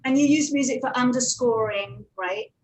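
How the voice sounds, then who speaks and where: A woman's voice: a little high, moderate pace, crowd noise, poor audio. A woman giving an informal panel presentation as an audio producer, talking conversationally with anecdotes and digressive asides.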